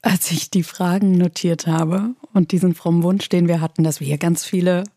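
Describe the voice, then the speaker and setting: A woman's voice: fairly low pitch, steady tone, normal speed, dark tone, no echo, clean studio sound. A woman guest moderating a podcast, speaking playfully and teasingly. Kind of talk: casual podcast chat.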